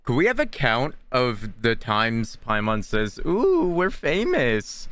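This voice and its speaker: A man's voice: medium pitch, wildly swooping pitch, slow pace, fairly bright tone. A man giving casual gameplay commentary as a streamer, chatty and full of joking asides. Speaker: game streamer. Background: game music.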